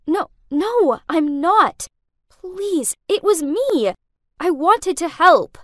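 A woman's voice: high-pitched, very animated, moderate pace, no echo, studio quality. A woman voicing a hot-tempered, jealous young woman in a dramatic story, melodramatic and emphatic. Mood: pleading.

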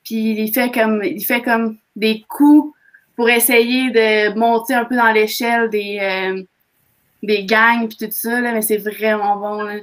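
A young woman with a slightly high voice and a Québécois French accent. She speaks casually, as one of a panel on a video call, from a room at home. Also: steady tone, slow, rough recording. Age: young adult. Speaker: talk show panelist. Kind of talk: casual chat. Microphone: computer mic on a video call.

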